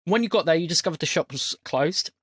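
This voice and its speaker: A man's voice: fairly high pitch, expressive, quick pace, fairly bright tone, husky, no echo, clean studio sound. A man hosting a casual podcast as game master, chatting and recapping.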